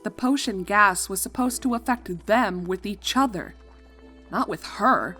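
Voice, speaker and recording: A woman reading fan fiction as narrator, expressive and dramatic, leaning on key words. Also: mid-range voice, measured pace, husky, soft background music. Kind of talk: expressive scripted story narration.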